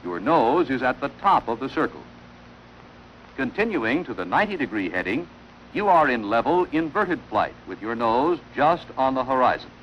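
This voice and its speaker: A man delivering formal, measured instructional narration in the style of a wartime training film, on an old film soundtrack. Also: medium pitch, very animated, fairly bright tone, lo-fi sound, a little hiss or hum.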